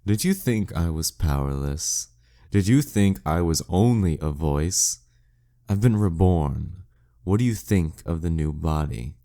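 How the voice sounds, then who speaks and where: Man voicing a deep, slow, velvety demon king. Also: lively intonation, warm tone. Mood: gloating, mocking. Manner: teasing, condescending, menacingly courtly. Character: reborn demon king, smug, dominant, seductive villain. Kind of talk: flirty audio roleplay monologue addressed to the listener.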